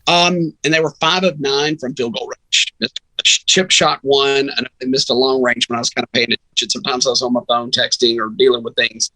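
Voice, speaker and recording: A man's voice: mid-range voice, fairly even intonation, a little fast, bright, forceful tone, no echo, low-quality recording. A man talking casual sports analysis as a radio broadcaster guest, acting out reactions as he tells stories.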